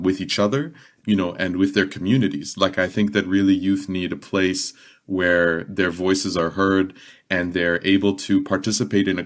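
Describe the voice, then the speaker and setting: A man's voice: deep voice, a bit bright. A man speaking reflectively as a guest in a podcast panel discussion. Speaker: podcast guest, youth education worker.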